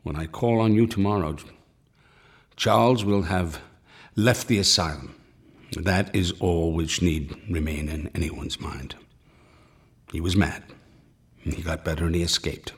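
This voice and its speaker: Very deep, solemn doctor. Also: male voice, slightly rough. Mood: solemn, measured. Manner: solemn, deliberate, formal. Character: grave, resolute older male doctor and loyal family friend. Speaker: actor, also narrating. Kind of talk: radio drama acting.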